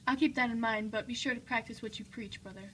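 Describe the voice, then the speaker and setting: A woman acts out a dutiful, obedient young noblewoman for a homemade puppet show. Her voice is fairly high. Some noise sits behind the amateur recording. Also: fairly even intonation, fast, natural voice, rough recording.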